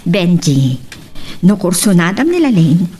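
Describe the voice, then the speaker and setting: An actress with an Ilocano accent playing a sharp, teasing married boutique owner in a theatrical, animated radio drama style. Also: female voice, on the low side, unhurried, slight background noise. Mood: teasing, curious.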